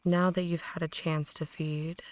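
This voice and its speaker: Woman playing a slow, whispery, menacing vampire mistress. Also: fairly low pitch, flat delivery, no room sound, lightly acted. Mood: calm, lecturing. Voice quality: soft, breathy, largely whispered. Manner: deliberate, condescending, softly menacing. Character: possessive, ancient female vampire mentoring a newborn, cold and menacing. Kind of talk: ASMR roleplay, slow intimate dramatic monologue.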